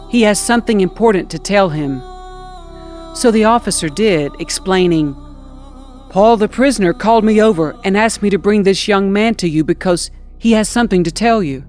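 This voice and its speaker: A woman's voice: mid-range voice, a little mellow. Woman narrating scripture over music. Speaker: scripture reader.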